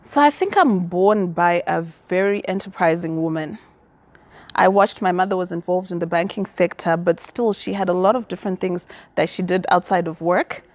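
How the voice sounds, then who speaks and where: A middle-aged woman from Zimbabwe speaks with firm, emphatic confidence. Her voice sits on the low side and is recorded close and dry, with no room sound. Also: normal speed, no echo. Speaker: guest. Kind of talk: interview answers.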